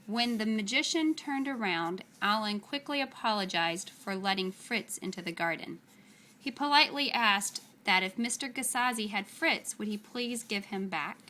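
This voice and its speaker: A woman reading a children's story aloud with expressive, deliberate, dramatic phrasing. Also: mid-range voice, slight background noise.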